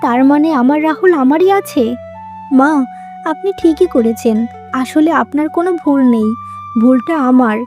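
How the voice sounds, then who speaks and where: A woman's voice: high voice, normal speed, pauses often, a little mellow, faint music behind, natural voice. A woman voicing an emotional, plaintive young modern wife in a moral-story cartoon. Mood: relieved, apologetic.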